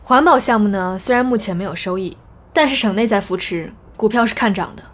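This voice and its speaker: A woman's voice: mid-range voice, brisk, close to an everyday voice. A woman playing a shrewd, businesslike company executive in an audio drama, composed and matter-of-fact. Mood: composed, formal. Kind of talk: Chinese audio drama acting.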